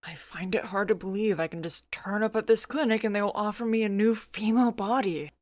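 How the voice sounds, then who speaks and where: A woman's voice: medium pitch, fairly even intonation, fairly quick, no room sound, voice pushed up, lightly acted. A woman voicing a sarcastic, anxious young transfeminine friend, sceptical and a little whiny, in an audio story.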